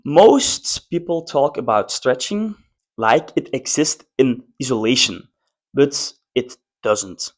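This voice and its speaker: A man's voice: mid-range voice, animated, slow pace. A man who is a non-native English speaker, presenting an explainer in short, emphatic phrases. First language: not English. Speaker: presenter, former athlete. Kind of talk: semi-scripted explainer to camera.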